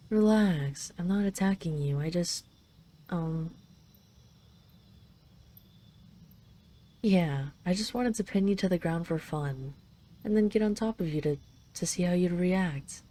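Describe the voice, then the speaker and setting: Woman playing a mellow, tender dragon queen. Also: lowish voice, natural voice. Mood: flustered, teasing. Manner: affectionate, gently teasing, wistful. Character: dragon queen, tender, teasing, possessive lover. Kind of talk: intimate roleplay audio drama, slow and soft.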